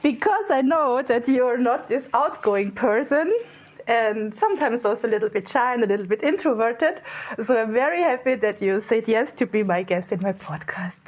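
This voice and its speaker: A woman hosting a podcast, chatty and quick to laugh in a casual interview. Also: fairly high pitch, lively intonation, highs cut off. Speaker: podcast host.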